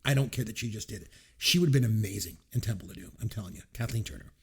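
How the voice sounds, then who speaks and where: A man's voice: on the low side, racing, gravelly, slightly rough. A man co-hosting a podcast in casual chat, giving enthusiastic, emphatic opinions. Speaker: podcast co-host.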